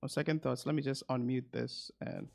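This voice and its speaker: A man's voice: medium pitch, fairly even intonation, normal speed, gravelly. A man streaming as a game guide host, casually commentating and repeating his phrases as he thinks aloud. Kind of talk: casual gameplay commentary with asides to viewers.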